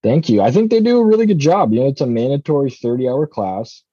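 A man's voice: mid-range voice, lively intonation, fast talker, mellow, slightly rough, no echo, muffled recording. A man from Southeast Alaska, a commercial fisherman chatting casually as a podcast guest, rambling with many ums and restarts.

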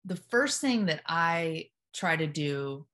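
A woman with a naturally low voice, speaking calmly and deliberately as a hypnotherapist guest in a casual interview. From Memphis, US South. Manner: calm, deliberate, steady delivery. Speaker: hypnotherapist at a psych hospital, guest.

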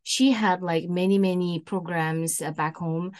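A middle-aged woman with an Indonesian accent chatting casually as an interview guest, speaking haltingly and often repeating her words. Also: lowish voice, steady tone, darkish tone. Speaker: podcast guest, singer and MC.

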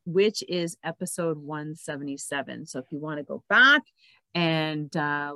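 A woman's voice: sits low, a bit bright, no room sound. A woman hosting a casual podcast interview, chatting in an enthusiastic way. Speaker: podcast host.

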